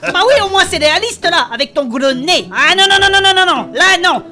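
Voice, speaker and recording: A woman playing a vain, chatty, touchy adventurer with an excitable, colloquial manner in a comedic fantasy audio drama. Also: extremely high pitch, fairly quick, full character voice. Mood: offended.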